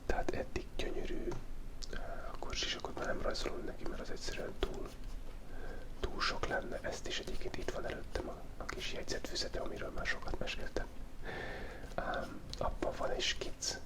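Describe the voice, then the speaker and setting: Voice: very high voice, completely flat delivery. Playful whispering ASMR host. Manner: playful, rambling, thinks aloud with restarts; soft unvoiced whisper throughout. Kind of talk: whispered ASMR drawing commentary.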